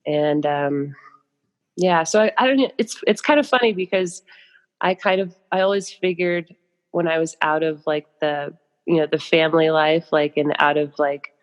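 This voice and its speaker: A woman's voice: low-pitched, normal speed, big-room sound. A young adult American woman speaking as a podcast guest, telling stories casually in loose, informal phrases with many asides. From United States. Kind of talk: casual conversational storytelling.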